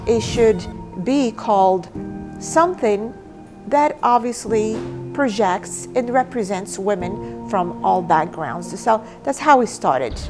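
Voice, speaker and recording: A woman's voice: mid-range voice, lively intonation, slow. A woman from Morocco giving a personal interview as the founder of an organization. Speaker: founder and chairwoman of a women's organization. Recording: clear music behind the voice. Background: background music.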